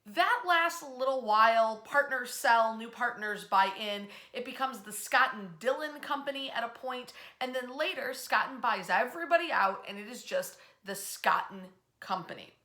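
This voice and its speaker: An American woman telling history stories in an informal, conversational way, with dramatic pauses and emphasis. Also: on the high side, animated, sharp tone. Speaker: history storyteller, host. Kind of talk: informal narrated history storytelling. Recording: echoey room sound.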